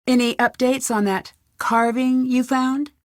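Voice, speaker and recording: A woman's voice: high-pitched, steady tone, a little slow, no echo, clean studio sound. An actress playing an earnest, inquisitive young female detective in a video game. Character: curious, caring young female amateur detective. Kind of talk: video game character dialogue.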